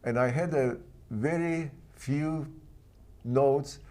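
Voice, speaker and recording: A man's voice: mid-range voice, dark tone. Czech-accented man telling his story. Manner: earnest, halting non-native phrasing. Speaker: guest author. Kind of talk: interview storytelling.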